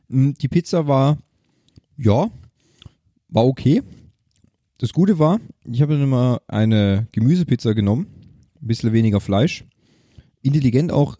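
A man's voice: mid-range voice, mellow, dry recording, clean studio sound. A man, chatting casually and colloquially as a podcast co-host, with a joking manner. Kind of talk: casual unscripted podcast chat.

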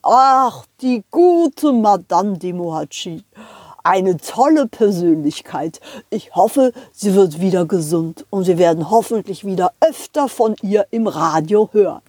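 Woman doing a friendly train driver guy for a kids story, chatty, unhurried, slightly husky. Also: mid-range voice, animated, a little mellow, dry recording, studio quality. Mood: kindly. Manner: good-natured, courteous. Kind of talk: children's puppet audio play.

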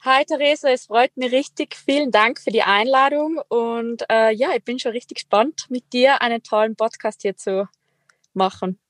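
A woman's voice: a little high, moderate pace, dry recording. A young woman with a Tyrolean accent, chatting casually as a podcast guest, upbeat and full of little fillers and laughs. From Tyrol, Austria. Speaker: guest, extreme athlete and entrepreneur. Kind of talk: casual interview chat.

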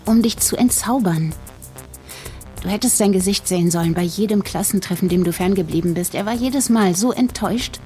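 A woman's voice: medium pitch, brisk, dark tone, faint music behind, natural voice. A middle-aged actress playing a cold, snobbish, scheming female politician in an audio drama, with a condescending, matter-of-fact delivery. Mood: contemptuous.

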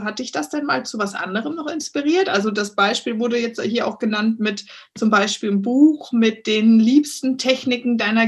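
A woman interviewing a podcast guest in casual German, encouraging her and often nodding along with short "mhm"s. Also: on the high side. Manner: encouraging, with lots of "mhm" backchannel.

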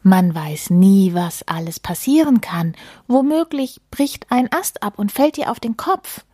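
Casting: woman as a sly, fussing male mole for a children's storybook, insistent and cautioning, raised pitch, close dry sound. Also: expressive, a little slow, dark tone, breathy edge, no echo. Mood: fussy worrying. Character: bossy, fretful, sly male mole friend, storybook animal.

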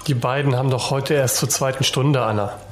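A father giving advice played by a man in a homemade radio play. His voice is mid-pitched, in a roomy recording with clear background noise. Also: steady tone, brisk, close to an everyday voice. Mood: advising. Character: father advising his daughter at breakfast. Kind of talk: amateur children's radio play.

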